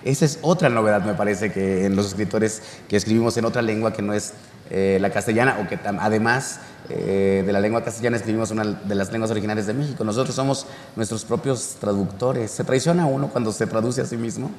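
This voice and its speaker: A man in his thirties from Mexico hosting a relaxed interview, talking with animated gestures in a cultural center room. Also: fairly low pitch, quick pace, a little hiss or hum. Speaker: show host. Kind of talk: conversational interview. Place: cultural center courtyard, indoors. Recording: echoey room with long reverb.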